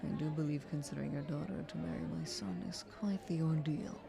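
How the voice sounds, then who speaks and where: A woman playing a dignified, protective queen mother in an audio roleplay, with a measured, formal, regal delivery. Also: low voice, animated, vocal fry, crowd noise. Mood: guarded, measured.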